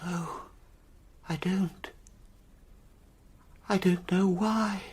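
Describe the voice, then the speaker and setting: An actor playing a nervous, down-on-his-luck war veteran in a radio play, hesitant and plaintive, with a husky, breathy voice. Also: sits high, steady tone, extremely slow, a little mellow, full character voice. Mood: confused, anguished.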